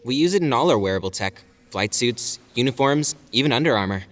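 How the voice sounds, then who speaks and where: A man's voice: mid-range voice, expressive, brisk, fairly bright tone, natural voice. A man playing an eager, boyish alien fighter in an audio drama, casual, chatty and playfully cocky. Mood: enthusiastic. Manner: casual, hesitant then enthusiastic.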